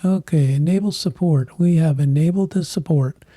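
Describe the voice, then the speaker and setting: A man hosting a tech channel, thinking aloud in halting bits while he clicks through software, with a voice that is giving out. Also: on the high side, dry recording. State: losing his voice. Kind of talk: casual screen walkthrough.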